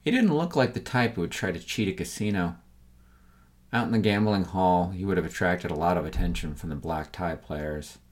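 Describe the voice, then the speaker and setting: An extremely low-pitched man narrates serial crime fiction in the first person. He reads from a script as the storyteller, with his voice sitting at the very bottom of the male range. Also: moderate pace.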